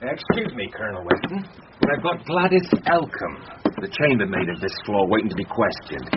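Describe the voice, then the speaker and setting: Deferential inspector, loud background noise. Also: male voice, on the low side, very animated, a little fast. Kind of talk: radio drama acting. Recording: muffled, very noisy radio recording, distant.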